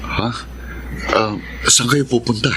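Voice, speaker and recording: An actor playing a contrite married younger brother in a radio drama. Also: male voice, mid-range voice, steady tone, slow, noisy background, lightly acted. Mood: hesitant, contrite.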